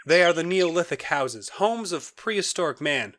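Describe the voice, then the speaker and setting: A man playing a lively, eager moorland naturalist. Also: on the high side, moderate pace, slight background noise. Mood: chatty, welcoming. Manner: theatrical, eager, ominous storytelling. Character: genial, eccentric naturalist brother, guide to a wild moor. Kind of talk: audio drama acting.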